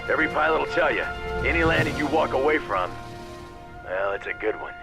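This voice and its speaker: An actor playing an easygoing, joking military pilot, talking as if over the radio. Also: male voice, medium pitch, animated, a little fast, creaky, over loud music. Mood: wry, joking. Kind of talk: game cutscene radio talk.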